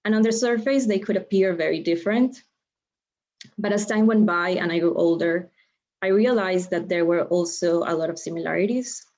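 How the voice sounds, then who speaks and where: Low-voiced woman from Ecuador lecturing. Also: a little mellow. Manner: measured, explanatory, with frequent ums and uhs. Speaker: guest lecturer. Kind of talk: online lecture presentation. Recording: dull lo-fi video-call audio.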